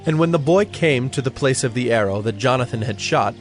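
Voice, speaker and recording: A man's voice: medium pitch, animated, a little fast, soft background music. A man narrating a dramatized Bible story from a script.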